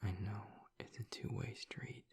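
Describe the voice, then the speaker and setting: A man playing a guarded, vulnerable, grieving werewolf boyfriend in an intimate audio roleplay, speaking in a halting, breathy, gravelly whisper. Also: darkish tone, close to an everyday voice. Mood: guarded, reluctant. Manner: halting, reluctant, haltingly confessional.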